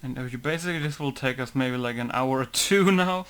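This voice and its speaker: A man walks viewers through his game-modding process, his talk relaxed and rambling. His voice sits at an ordinary middle pitch, and he laughs easily as he works. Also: a little fast, a little hiss or hum. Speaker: game modder. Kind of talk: casual screen-recording commentary.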